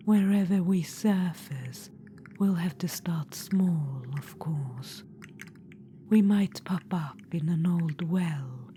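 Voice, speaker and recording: A woman's voice: on the low side, slight background noise. A woman voicing a scheming, ancient undead witch-queen spirit in a whispered ASMR audio roleplay, silky and condescending, calling the listener darling. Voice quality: soft unvoiced whisper.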